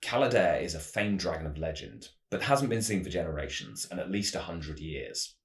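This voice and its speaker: A man hosting a tabletop roleplaying channel, giving scripted explanations in a measured, storyteller-like way. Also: low voice, lively intonation, echoey room.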